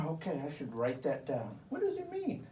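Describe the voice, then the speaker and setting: A man plays a naive, slow-witted puppet sidekick with a higher voice that swoops up and down. He sounds hesitant and curious, in a roomy, distant comic puppet-show recording. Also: wildly swooping pitch, warm tone, slight background noise. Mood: naive curiosity. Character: dim, curious, easily distracted puppet sidekick.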